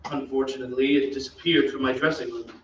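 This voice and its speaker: A man's voice: mid-range voice, steady tone, fast talker, lightly acted. A man acting a suave, courteous opera singer who also narrates an old-time radio drama. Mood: courteous, self-assured. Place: theatre stage. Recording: echoey stage, distant muffled mic, faint noise.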